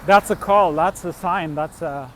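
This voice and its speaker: A middle-aged man from Turkey and Switzerland tells personal travel stories casually, in a halting, rambling way with trailing thoughts. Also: on the high side, a bit bright, slight background noise. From born in Turkey, grew up in Switzerland. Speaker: vlogger, musician and traveler. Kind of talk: casual unscripted personal storytelling.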